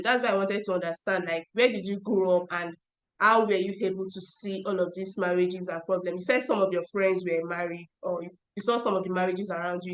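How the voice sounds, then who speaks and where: A woman's voice: on the low side, low-quality recording. Chatty woman host. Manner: chatty, laughs between questions. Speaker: podcast host. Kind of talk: interview questions, casual chat.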